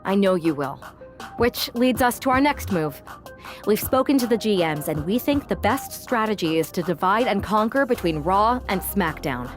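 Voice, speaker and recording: A woman's voice: medium pitch, animated, normal speed, faint music behind, natural voice. An actress playing a composed, determined female pro wrestler laying out strategy in a video game cutscene. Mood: composed, resolute.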